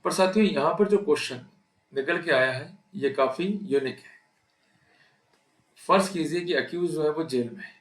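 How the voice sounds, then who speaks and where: A man's voice: a little high, moderate pace, long pauses, echoey room, poor audio. A man with an Indian accent giving an explanatory law lecture, emphatic, putting rhetorical questions to his listeners. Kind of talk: explanatory legal lecture mixing Hindi and English terms.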